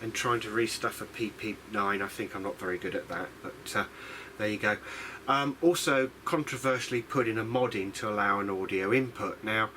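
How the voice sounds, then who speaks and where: A man from the UK with a fairly low voice presents a casual hobby video diary. He rambles and hesitates, often putting himself down mildly. There is clear noise behind his voice. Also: normal speed, hardly any pauses, pushed and bright, poor audio. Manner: rambling, hesitant, self-deprecating. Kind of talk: casual unscripted demonstration.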